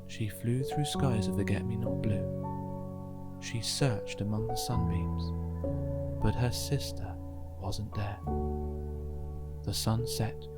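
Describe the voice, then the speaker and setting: A storybook narrator reads gently for children at a slow pace. The pitch sits in a middle range, and music plays loudly behind the words. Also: steady tone. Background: music bed.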